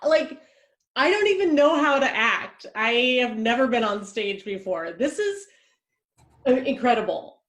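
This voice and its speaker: A woman's voice: fairly high pitch, big pitch swings, measured pace. A woman playing an anxious, theatrical playwright-director who gushes and rambles dramatically. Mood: flustered. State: panicked shouting near tears.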